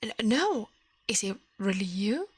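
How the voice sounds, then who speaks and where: A woman voicing a kindly, wondering scholar from a storybook in a soft, breathy voice. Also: medium pitch, big pitch swings, measured pace, no room sound, exaggerated voice. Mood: astonished. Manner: earnest, gentle, wondering. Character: kindly, astonished learned man, older scholar.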